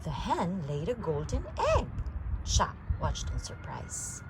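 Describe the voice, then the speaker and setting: A woman reading a children's story aloud, narrating in an expressive, deliberate way. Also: lowish voice, noisy background. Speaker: storytime reader.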